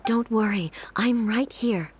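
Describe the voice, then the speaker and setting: A woman playing a calm, regal ruler in a video game, speaking in a composed, formal, measured manner. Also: on the high side, slight background noise. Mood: soothing. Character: calm, regal female ruler, solemn and reassuring.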